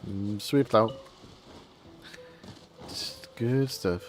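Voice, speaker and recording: A man streaming a game with a low voice and a slow pace. His commentary is casual and rambling, with faint music behind it. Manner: laid-back, rambling. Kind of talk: casual live gameplay commentary.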